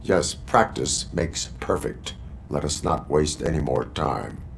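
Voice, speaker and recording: Stoic robot cop for a game, super deep n gravelly, formal deadpan, bit of background noise. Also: male voice, slow pace, full character voice. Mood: flat, stoic. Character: stoic robotic cyborg policeman, middle-aged man. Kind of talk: video game acting.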